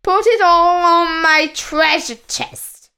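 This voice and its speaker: A woman voicing a fierce male pirate captain from a children's storybook, growling and bellowing slow commands. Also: very high voice, sharp tone, pitched above the performer's own voice, exaggerated voice. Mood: commanding.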